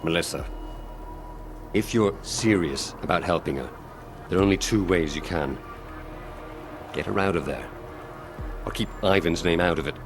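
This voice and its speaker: Very deep-voiced, guarded police lieutenant. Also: male voice, music in the background. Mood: guarded, pleading. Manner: halting, guarded, earnest. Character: guilt-ridden, protective male police lieutenant, defensive under questioning. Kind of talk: video game dialogue acting.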